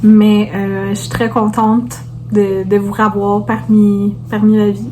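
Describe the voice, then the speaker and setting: A woman's voice: medium pitch, flat delivery, takes it slowly, warm tone, a little hiss or hum, rough recording. A woman with a Québécois French accent chatting casually to viewers as a vlogger, informally peppering her talk with English words.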